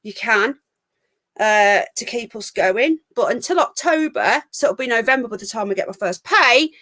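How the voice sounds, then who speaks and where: Woman, stuffy with a cold, higher voice, rambles frankly to her live stream from home on a laptop mic. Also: lively intonation, moderate pace, fairly bright tone. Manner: chatty, rambling, frank, swears. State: full of cold. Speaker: livestream call-in show host. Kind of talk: casual live stream chat.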